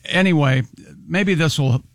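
A man's voice: fairly high pitch, a little slow, no room sound, studio quality. An American man co-hosting a talk show in casual, bantering conversation. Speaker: radio show co-host.

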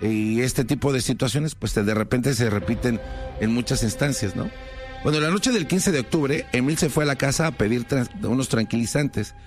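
A man's voice: mid-range voice, animated, moderate pace. Mexican man telling true crime over music. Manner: informal, explanatory, with conversational asides. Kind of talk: conversational true crime narration. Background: background music.

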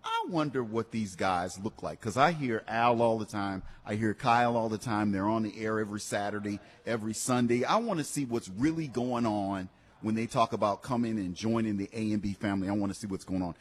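A man's voice: fairly low pitch, fairly quick, breathy edge. A man hosting live promotional radio for a car dealership in a conversational, encouraging sales manner. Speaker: radio host. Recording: long hall-like reverb.

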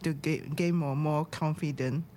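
Low-voiced woman, hesitant guest. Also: fairly even intonation, unhurried, warm tone, slight background noise. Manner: hesitant, searching for words, laughs often. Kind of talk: casual chat.

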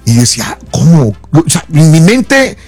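A man hosting a casual radio chat show, relaxed and joking as he takes calls. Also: on the high side, unhurried, no room sound. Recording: radio studio with faint music.

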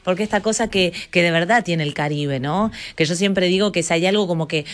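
A woman's voice: fairly low pitch, brisk. A woman in her forties from Argentina with a Rioplatense accent, chatting playfully as a guest and often laughing at herself. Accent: Rioplatense Spanish. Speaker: actress and stage show guest. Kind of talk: casual chat interview.

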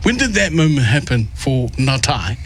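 A man's voice: mid-range voice, big pitch swings, normal speed, slight background noise. A man hosting a radio show, interviewing musical guests in an effusive, rambling way.

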